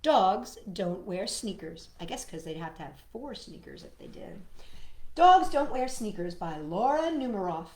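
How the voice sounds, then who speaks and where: A woman's voice: medium pitch, very animated, measured pace, a little mellow, echoey room. A woman hosting a storytime for young children, reading and singing in a playful way and addressing her listeners directly. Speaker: children's storytime host with a puppet sidekick.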